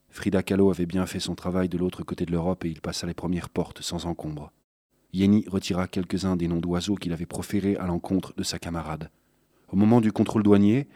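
A man's voice: sits low, a little mellow, no echo. A man reading a literary thriller as scripted narration. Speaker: audiobook narrator.

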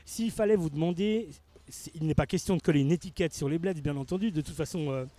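A man's voice: on the high side, fast, mellow, dry recording. Chatty male radio host. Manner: chatty, laughs easily, stumbles over words. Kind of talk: casual radio interview.

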